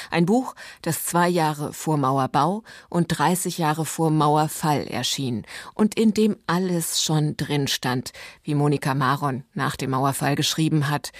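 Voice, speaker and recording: A woman's voice: low-pitched, dry recording, studio quality. A woman hosting a radio literature programme, reading a scripted introduction to a book.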